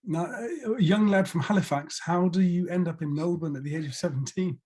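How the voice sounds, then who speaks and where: Mellow-voiced enthusiastic male host. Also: sits high, moderate pace, slightly rough. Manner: enthusiastic, gushing praise. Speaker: interview host. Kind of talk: casual interview questions.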